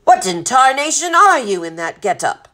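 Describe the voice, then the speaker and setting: A woman voicing a gruff, blustering old male sea captain in a storybook, bellowing in salty sailor talk. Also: high voice, sing-song, normal speed, sharp tone, pitched above the performer's own voice, exaggerated voice. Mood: roaring, impatient.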